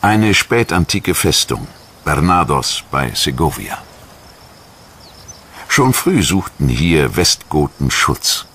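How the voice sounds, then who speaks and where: A man reading scripted documentary narration in German in a measured, factual manner. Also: extremely low pitch, big pitch swings, fairly bright tone, slightly rough. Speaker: documentary narrator and voice-over translator.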